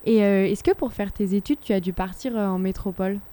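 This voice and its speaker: A woman's voice: medium pitch, fairly quick, warm tone. A woman interviewer asking conversational questions, phrasing them a little tentatively.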